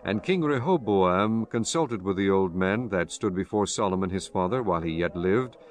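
A man's voice: low voice, animated, moderate pace. A man narrating a dramatized scripture reading in a solemn, formal storytelling manner. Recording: music bed behind the voice.

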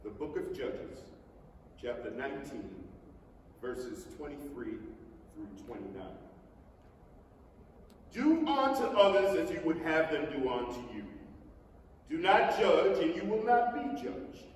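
A man's voice: fairly high pitch, big pitch swings, slow pace, pauses often. A man giving a solemn, emphatic, declaimed dramatic reading of scripture on stage. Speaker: stage reader. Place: on stage in a large indoor theatre. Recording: echoey theatre hall from far off, noisy.

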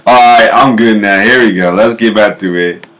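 A man in his thirties with a low bass voice, casually reacting to memes at home, laughing often and breaking into off-key singing. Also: normal speed, background noise. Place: home kitchen, indoors. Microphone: webcam at close range.

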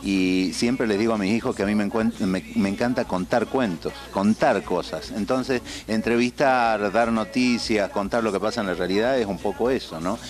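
A man in his fifties with an Argentine accent, a TV host interviewed in a studio, talking in a measured, earnest way with many "eh" pauses. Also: lowish voice. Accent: Argentine Spanish. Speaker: TV host and producer as guest. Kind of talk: casual interview. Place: TV studio set, on a couch. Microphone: handheld mic held by interviewer.

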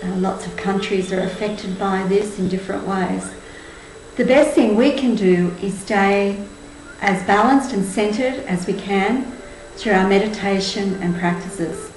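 A woman in her sixties giving a measured, earnest spiritual talk to camera. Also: medium pitch, a little mellow. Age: 60s. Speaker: spiritual group tour leader and presenter. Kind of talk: spoken presentation to camera. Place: indoors, small room with cloth backdrop. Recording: roomy echo, faint background noise.

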